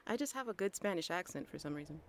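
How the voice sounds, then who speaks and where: A woman's voice: on the low side, lively intonation, fast talker. Young adult female host, bubbly and giggly, reads listener questions, casual. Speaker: interviewer, host. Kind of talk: casual interview chat.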